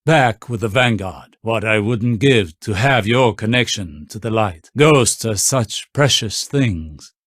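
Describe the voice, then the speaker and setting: A man playing a composed, scholarly mentor in a video game, with measured, formal and authoritative delivery. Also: lowish voice, animated, a bit bright, no room sound, clean studio sound, exaggerated voice. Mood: warm, solemn. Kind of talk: scripted game character barks.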